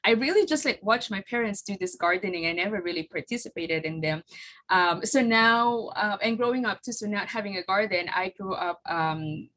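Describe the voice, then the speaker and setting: A woman's voice: medium pitch, expressive, normal speed, a bit bright, poor audio. A woman in her forties from the Philippines, whose first language is Tagalog, gives a casual presentation over a video call, hesitant and full of fillers and restarts. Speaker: guest speaker presenting her flower farm.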